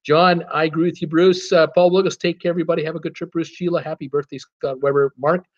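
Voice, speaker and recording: A man's voice: on the high side, level tone, fast. Easygoing man reading live chat. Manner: reads out chat names and replies in a chatty, easygoing way with many "uh"s. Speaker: host of a live cruise Q and A stream. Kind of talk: casual live-stream chat reading viewer comments. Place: temporary home setup in a city. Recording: dull, lo-fi live-stream audio.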